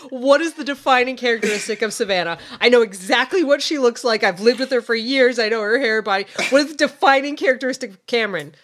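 Woman on a game podcast, kinda high voice, playful and giggly. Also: fast, hardly any pauses, fairly bright tone, a little hiss or hum. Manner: playful, laughs while talking. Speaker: podcast cast member. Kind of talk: casual chat about a tabletop game.

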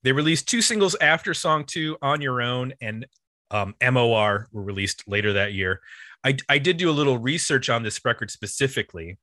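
A man's voice: mid-range voice, moderate pace, sharp tone, dry recording, clean studio sound. A man hosting a music podcast, casually explaining the history of an album in an informal, loosely structured way.

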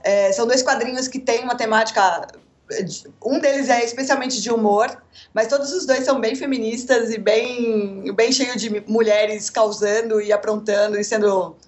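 A Brazilian-raised woman, a comics author, chatting as a podcast guest in a lively way. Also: on the high side, normal speed, dull audio. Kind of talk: casual podcast chat.

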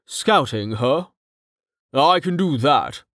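A man's voice: fairly high pitch, lively intonation, slow pace, a bit bright, no room sound, studio quality, exaggerated voice. A man voicing a wary, troubled family man in a fantasy audiobook, speaking in a hesitant, brooding manner. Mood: grateful, steadier.

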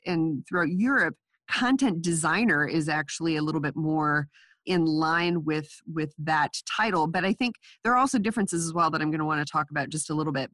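A woman's voice: low-pitched, a bit bright, no room sound. Woman host with a nasal cold. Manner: chatty, restarts sentences. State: has a cold, a bit nasal. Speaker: podcast host. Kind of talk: casual interview.

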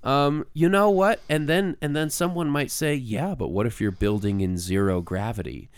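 Clear-voiced man chatting emphatically, dry sound. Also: mid-range voice, moderate pace, no echo. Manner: emphatic, acts out imagined remarks. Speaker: podcast co-host and music teacher. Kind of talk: casual podcast chat.

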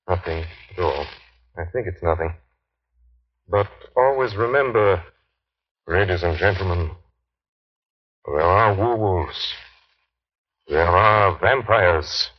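An actor playing an ominous horror radio host, grave and menacing, with a husky voice. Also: male voice, deep voice, full character voice. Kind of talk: old-time radio horror acting. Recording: dull, lo-fi old radio recording.

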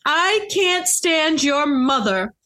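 A woman's voice: sits high, very animated, slow pace, bright, forceful tone. A woman co-hosting a podcast in casual comedic banter, giggly and often exclaiming. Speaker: podcast co-host.

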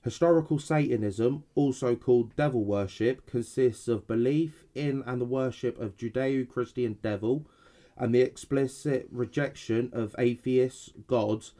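A man's voice: medium pitch, a little slow, warm tone. A man co-hosting a podcast, sounding a bit nasal as he recovers from an illness, reading definitions from notes with some stumbles and restarts. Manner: reads definitions with hesitations and restarts. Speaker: podcast co-host.

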